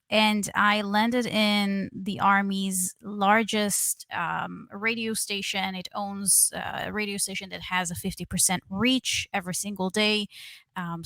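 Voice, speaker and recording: A woman's voice: mid-range voice, fairly bright tone, no room sound. A young adult woman from Israel, a guest email strategist, telling her story in a candid, chatty way with plenty of fillers. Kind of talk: conversational interview.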